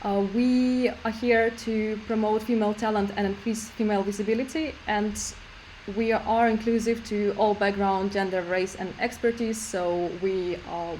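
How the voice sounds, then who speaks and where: A woman organizer introducing an online meetup, speaking briskly with halting "uh" fillers. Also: mid-range voice, fairly even intonation, a little mellow, slight background noise, rough recording. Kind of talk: event introduction.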